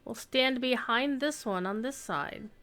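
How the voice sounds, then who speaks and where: A woman's voice: on the high side, measured pace, a bit bright, clear, resonant tone. A woman streaming a game and chatting casually, thinking aloud and sometimes reading the quest text. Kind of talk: casual gameplay commentary. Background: faint game music.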